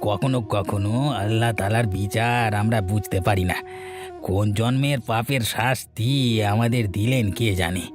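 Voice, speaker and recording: A man's voice: lowish voice, measured pace, natural voice. A man voicing a sly younger brother-in-law in a cartoon, speaking in an oily drawl. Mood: feigned sorrow. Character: sly younger brother-in-law, falsely consoling, secretly gloating. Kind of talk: cartoon voice acting. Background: music.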